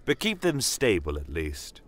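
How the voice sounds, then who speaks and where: A man performs a stern fantasy war commander recounting his tale. The voice is very low and slow, formal and brooding, with proud authority in a storytelling style. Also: wildly swooping pitch, fairly bright tone, exaggerated voice. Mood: commanding, stern. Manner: measured, deliberate, formal, brooding. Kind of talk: first-person fantasy audio storytelling.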